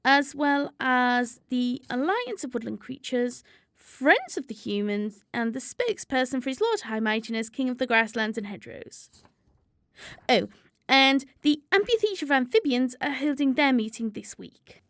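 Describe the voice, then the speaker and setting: A woman acting in a radio drama as a gentle, orderly season spirit who chairs meetings in a prim, correcting manner. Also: sits high, sing-song, normal speed, no room sound, clean studio sound, exaggerated voice. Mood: prim, businesslike.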